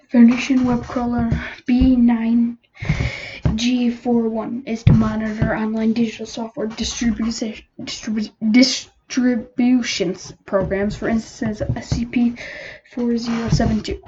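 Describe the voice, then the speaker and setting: A woman with a fairly high voice narrates from a written document. Her reading is halting and she often stumbles on numbers. The recording is dull and lo-fi, with clear noise behind her. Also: dark tone.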